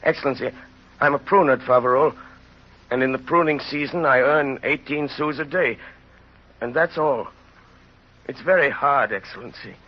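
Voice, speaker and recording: An actor playing a poor, hungry labourer, humble and desperate, with halting, deferential pleading in an old radio drama. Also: male voice, medium pitch, lightly acted. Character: humble, desperate, hungry poor French pruner turned convict. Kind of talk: 1930s radio drama acting. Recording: noisy, dull old radio recording.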